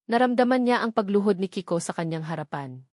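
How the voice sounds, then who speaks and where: A woman's voice: mid-range voice, expressive, a little fast, darkish tone, no room sound, studio quality. A woman reading a dramatic scripted story as a storyteller.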